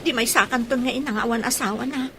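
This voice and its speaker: A woman playing a worried, fretting village mother in a radio drama, with a husky voice. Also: a little high, fairly even intonation, fast talker, sharp tone, slight background noise. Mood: fretting, concerned.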